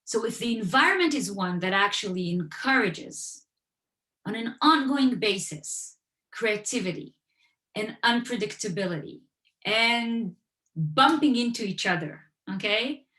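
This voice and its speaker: Woman on a video call, echoey room, mid pitch, tells stories to make her point. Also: lively intonation, measured pace, sharp tone. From Western Europe. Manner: storytelling, explains with everyday examples. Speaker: author and guest speaker. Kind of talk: conversational talk at an online event.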